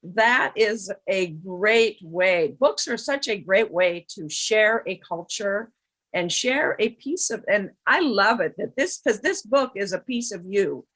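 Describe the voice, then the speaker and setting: A woman's voice: mid-range voice, lively intonation, slow pace, fairly bright tone. A woman hosting a children's storytime, interviewing conversationally in an effusive, encouraging way.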